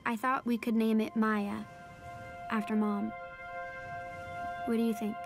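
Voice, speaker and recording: An earnest, reflective teenage girl tells her story in an audio drama. Her voice sits fairly high. Music plays underneath her narration. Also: little pitch movement, a little slow. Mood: warm, hopeful. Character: teenage daughter of a marine biologist, earnest storyteller. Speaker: narrator and lead character. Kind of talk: scripted first-person audio drama narration.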